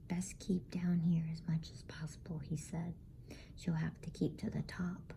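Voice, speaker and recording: A woman reading a bedtime story in a hushed, breathy ASMR whisper. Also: lowish voice. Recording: faint background noise.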